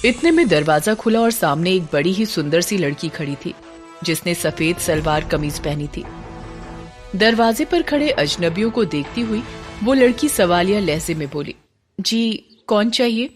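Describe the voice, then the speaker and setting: A woman narrating a scripted horror story as an expressive audio series storyteller. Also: on the low side, moderate pace, soft background music.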